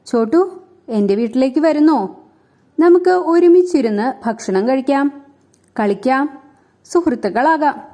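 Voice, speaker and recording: A woman's voice: sits high, animated, unhurried, pauses often, warm tone. A woman voicing a lonely, loyal young male porcupine in a children's story, speaking earnestly. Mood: friendly, hopeful.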